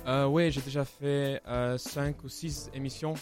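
A man's voice: mid-range voice, steady tone, a little slow, darkish tone, faint music behind. A young man from Belgium with a Flemish accent, a native Dutch speaker, answering interview questions in French with many hesitations. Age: young adult. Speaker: student radio guest.

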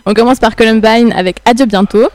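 A woman's voice: fairly high pitch, fairly even intonation, fairly quick, darkish tone, dry recording. A woman running a playful music quiz, reading lyrics aloud and laughing often. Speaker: guest running a quiz game.

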